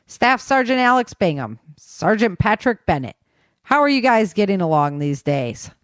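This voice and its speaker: A blunt, commanding army major read by a woman in an audio story. He is curt and quick to protest, and the recording is dry and close. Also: mid-range voice, big pitch swings, no room sound, natural voice. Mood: businesslike.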